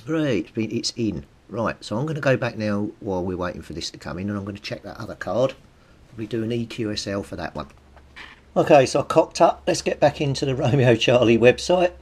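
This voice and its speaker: A man's voice: fairly quick, slightly rough. A British man giving a casual how-to commentary, thinking aloud and muttering informally to himself as he works. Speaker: hobbyist video host. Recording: steady background noise with low rumble.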